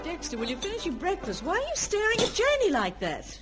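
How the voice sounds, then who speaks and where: A woman's voice: very high voice, huge pitch swings, brisk, over loud music, full character voice. A woman playing an exasperated, wry middle-aged wife and mother in an old-time radio comedy. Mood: exasperated, teasing. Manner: scolding, wry.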